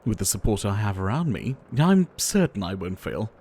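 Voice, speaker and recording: A man playing a gentle, ailing pharmacist in an ASMR roleplay, soft-spoken and courteous, with wistful, theatrical phrasing. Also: fairly low pitch, big pitch swings, moderate pace, slight background noise. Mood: resolute. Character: gentle, ailing male pharmacist, courteous, earnest, devoted healer. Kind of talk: ASMR roleplay addressed to the listener.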